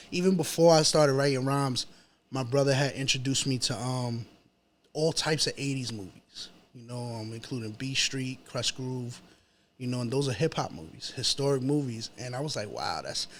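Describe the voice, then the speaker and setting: A man in his thirties speaks with a New York accent at an average pitch. His talk is casual and slangy, like a rapper answering questions in a relaxed conversational interview, with plenty of little check-in phrases. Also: moderate pace, slight background noise. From Chelsea, Manhattan, New York, USA. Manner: slangy, frequent "you know".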